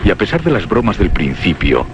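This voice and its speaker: An actor as the grave narrator of a radio horror tale, reading literary prose with a Castilian Spanish accent. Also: male voice, sits low, big pitch swings, brisk, highs cut off, background noise. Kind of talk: scripted literary suspense narration.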